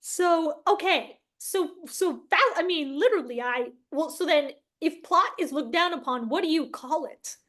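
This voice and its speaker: A woman's voice: high voice, expressive, moderate pace, few pauses, fairly bright tone. A woman hosting an interview as a casual chat, informal, curious and enthusiastic. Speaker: interview host.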